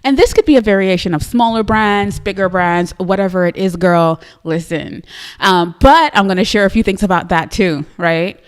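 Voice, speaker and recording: A young woman with a Trinidadian accent hosts a live stream and talks straight to her viewers. She sounds upbeat and chatty and keeps tagging "right?" onto her lines. Her pitch sits in the middle of the usual range. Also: normal speed, clear, resonant tone. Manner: upbeat and chatty, often adds "right?", laughs easily. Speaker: host of a live business show.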